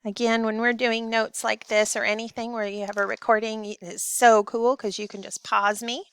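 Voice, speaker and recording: A woman's voice: on the high side, little pitch movement, normal speed, a bit bright, clean tone, dry recording. A woman teacher giving children step-by-step instructions in a recorded lesson. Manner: addresses children directly with simple step-by-step directions. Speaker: elementary school teacher.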